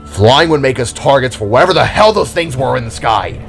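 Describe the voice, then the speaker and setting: A man's voice: medium pitch, expressive, a little fast, creaky. A man performs a stern and skeptical survivor in an audio drama. Music runs behind the voice.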